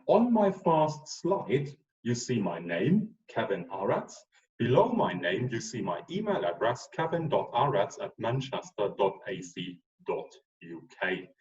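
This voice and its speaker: A man's voice: medium pitch, recorded from a distance, lo-fi sound. A man in his forties with a German accent, a professor giving a casual online lecture with frequent ums. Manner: relaxed, chatty, with frequent ums.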